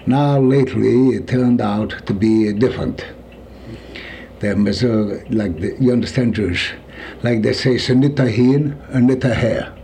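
Older man, Yiddish accent, halting speech, mellow dark tone. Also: medium pitch, a little hiss or hum. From Poland. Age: 70s. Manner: halting, searching for words, slipping into Yiddish. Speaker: interviewee. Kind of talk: oral history recollection.

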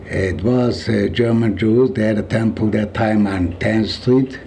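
A man in his seventies from Poland with a Yiddish accent, recalling his life in an interview, halting and searching for words. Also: lowish voice, steady tone, dark tone, a little hiss or hum. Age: 70s. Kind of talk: oral history recollection.

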